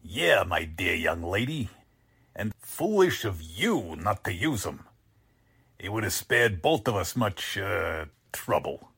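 Smooth-mannered but threatening male swindler, acted by a man, low gravelly voice, old-time radio villain. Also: sing-song, slow pace, a bit bright, full character voice. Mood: smug menace. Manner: mock-polite, threatening. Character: menacing con artist man posing as a foreign Count. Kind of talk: radio drama acting in 1940s manner.

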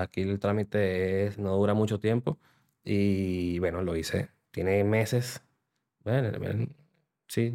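Low-voiced Venezuelan man explaining. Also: a little mellow. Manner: explains at length, methodical. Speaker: guest, software developer. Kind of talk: conversational podcast interview. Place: podcast studio.

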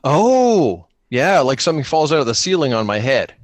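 A man's voice: fairly high pitch, sing-song, normal speed, slight background noise. A man chatting casually and jokingly with dry humour as he plays an improvised tabletop role-playing game.

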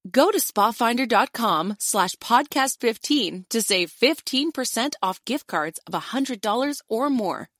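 A woman's voice: a little high, lively intonation, unhurried, hardly any pauses, fairly bright tone, dry recording, clean studio sound. An American woman giving a scripted advertisement read as an announcer.

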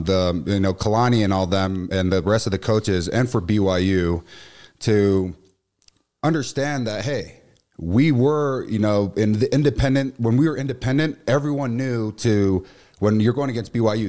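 Loud, emotional young man, low voice. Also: moderate pace. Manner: loud, emotional, animated delivery. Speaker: studio guest, former football player and TV analyst. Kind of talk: casual radio chat.

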